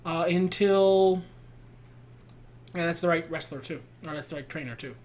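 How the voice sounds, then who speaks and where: A man's voice: a little high, normal speed, darkish tone. A man co-hosting a casual chat while playing a wrestling booking game, explaining plans step by step.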